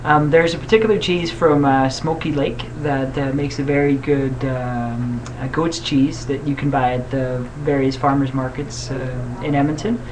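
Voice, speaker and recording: A man's voice: medium pitch, steady tone, moderate pace, few pauses. A man from Switzerland, a campaign guest, answering interview questions hesitantly with many fillers. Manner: hesitant, many uhs and ums. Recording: audible background hiss.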